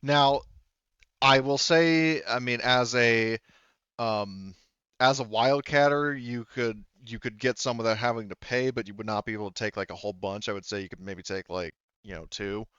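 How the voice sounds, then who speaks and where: Male game master, smooth and clear, casual, halting rules explainer who keeps checking himself; slightly muffled mic. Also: mid-range voice, fairly bright tone, no echo. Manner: hesitant, self-interrupting, often double-checking.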